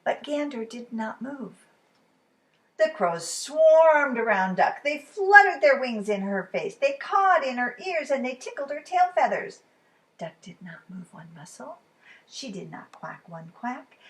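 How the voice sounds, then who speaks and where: A woman reading a picture book aloud to children in a dramatic, expressive way, at times dropping to a hush. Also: fairly high pitch, measured pace, poor audio. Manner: dramatic, dropping to hushed whispers. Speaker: children's storytime reader.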